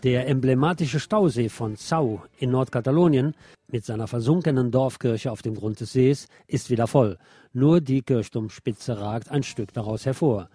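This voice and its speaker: A man's voice: mid-range voice, moderate pace, dark tone, breathy edge, no room sound. A man presenting scripted radio news, adding opinionated, wry asides as he reads. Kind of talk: scripted local news read with spoken asides.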